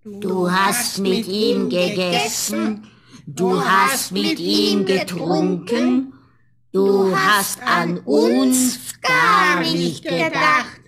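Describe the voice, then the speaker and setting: A woman among voices playing stern fairy-tale farm animals, chanting verse slowly in unison. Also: mid-range voice, animated, over a noisy crowd. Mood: reproachful. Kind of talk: radio fairy-tale play acting.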